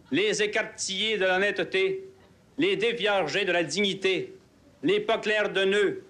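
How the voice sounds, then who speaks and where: A man with a Québécois accent reciting poetry as a deliberate, biting litany of colloquial epithets. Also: high-pitched, a bit bright.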